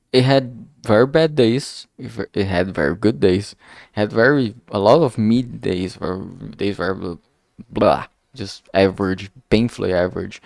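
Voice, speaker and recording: A man talking casually and reflectively on a solo stream, halting often as he searches for words. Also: on the low side, darkish tone, dry recording, clean studio sound. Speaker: indie game developer streaming.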